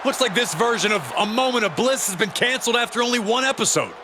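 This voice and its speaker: A man's voice: very high-pitched, expressive, fast, noisy background. A man playing an opinionated, emphatic wrestling color commentator in a video game.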